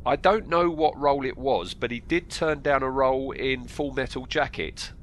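A man's voice: medium pitch, moderate pace, a bit bright, slight background noise. A man with a slightly congested voice from a cold, hosting a casual film podcast in a hesitant, rambling way and repeating himself. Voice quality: bunged-up nose from a cold. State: has a cold. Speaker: podcast host. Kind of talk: casual film discussion reading out actor trivia.

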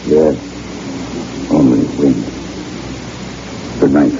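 An actor playing a haunted, uneasy backwoods guide with a French-Canadian accent, halting and wary, in an old radio drama. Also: male voice, very deep voice, fairly even intonation, heavily acted. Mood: brooding. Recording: muffled vintage radio recording, loud hiss.

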